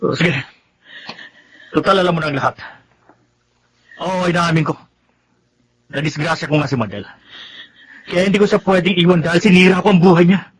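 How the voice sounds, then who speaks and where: An actor playing a cheating husband in a Tagalog radio drama, defensive, pleading and self-justifying. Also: male voice, a little high, normal speed, pauses often, breathy edge. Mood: defensive, guilty. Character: cheating husband, guilty, defensive, appeasing his women.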